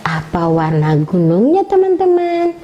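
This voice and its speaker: A woman hosting a children's storytelling show, animated and coaxing, prompting a young storyteller. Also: mid-range voice, measured pace, dull audio. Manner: animated, coaxing, often laughing. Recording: faint background noise.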